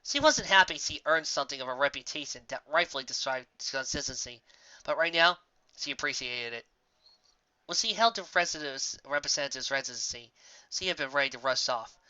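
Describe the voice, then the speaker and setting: Amateur male story reader, bright and tense, occasionally tripping on words, close dull home recording. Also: mid-range voice, brisk, no room sound. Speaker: fan fiction reader. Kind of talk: scripted story narration.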